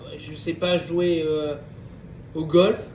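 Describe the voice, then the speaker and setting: A man with a high voice leads a study class in a roomy space. He stands some way off the mic, with a faint hum behind him. He reads a passage, unpacks it, and keeps asking his listeners whether they follow. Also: flat delivery, slow pace, a little mellow. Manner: reads a passage, then explains it with questions to the group. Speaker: Torah class teacher. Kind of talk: reading Hebrew text and explaining in French. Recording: echoey room, distant mic, faint background noise.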